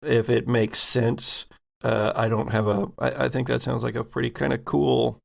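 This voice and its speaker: A man with a gravelly edge to his mid-pitched voice, acting as game master. He narrates casually and haltingly, thinking aloud between rules and scene description. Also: level tone.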